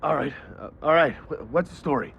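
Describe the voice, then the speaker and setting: An actor playing a brash, sardonic middle-aged photojournalist hero in a video game, wry, blunt and insistent. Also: male voice, on the high side, brisk, creaky, fairly bright tone, a little hiss or hum. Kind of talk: video game cutscene acting.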